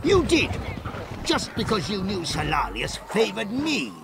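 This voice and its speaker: A man's voice: sits high, wildly swooping pitch, measured pace, gravelly, heavy background noise, heavily acted. A man voicing a fat, spoiled governor in a video game, pompous and whining like a sulky younger brother.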